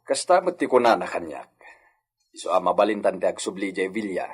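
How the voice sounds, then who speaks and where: A man's voice: low voice, expressive, a little fast, slightly rough. A man acting a kindly, grateful male household worker in an Ilocano radio drama. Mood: matter-of-fact.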